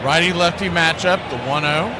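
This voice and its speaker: A man calling live baseball play-by-play for radio in clipped phrases with drawn-out calls. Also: on the high side, noisy background. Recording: loud outdoor ambience behind the voice. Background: loud outdoor ambience.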